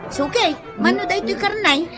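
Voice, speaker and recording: An actress playing a gossipy, flamboyant market vendor in an Ilocano comedy radio drama, exclaiming and teasing with an Ilocano accent. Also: female voice, extremely high pitch, lively intonation, normal speed, over music. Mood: brisk, chatty. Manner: exclamatory, teasing, drawling for effect.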